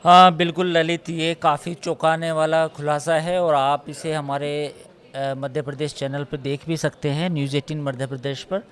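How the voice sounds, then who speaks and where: A man's voice: a little high, little pitch movement, moderate pace, fairly bright tone. A man working as a news reporter gives unscripted live analysis, emphatically repeating his points and listing figures.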